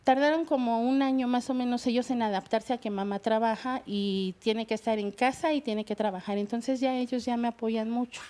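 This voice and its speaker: A middle-aged woman speaks in a fairly high voice as a talk show guest. She talks in a hesitant, halting way, restarting her sentences. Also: fairly even intonation, warm tone. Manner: hesitant, many fillers and restarts. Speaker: guest, bilingual secretary. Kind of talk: personal testimony on a talk show.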